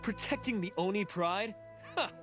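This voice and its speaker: An actor playing a scornful, embittered demon outlaw in a video game, speaking with accusing contempt. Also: male voice, high-pitched, moderate pace, lightly acted. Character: bitter, scornful male oni outlaw turned thief. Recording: music behind the voice.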